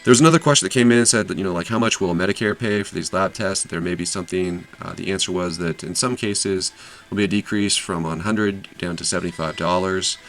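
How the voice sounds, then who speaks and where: A man's voice: low voice, fast, a bit bright, faint music behind, rough recording. A man hosting an investing channel, casually summarizing an earnings call with lots of fillers and self-corrections.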